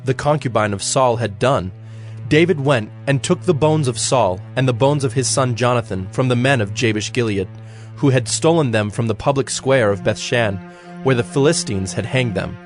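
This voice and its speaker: Solemn male scripture narrator over faint music. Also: mid-range voice.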